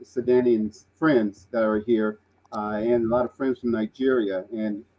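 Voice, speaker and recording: A man's voice: lowish voice, flat delivery, unhurried, muffled recording. A man talking conversationally as a guest in a panel discussion.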